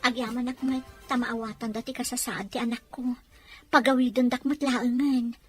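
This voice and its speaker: A woman's voice: fairly high pitch, fairly even intonation, moderate pace, breathy edge, no echo, faint music behind. An actress with an Ilocano accent playing a worried, pleading mother who works away from home in a radio drama. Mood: sorrowful.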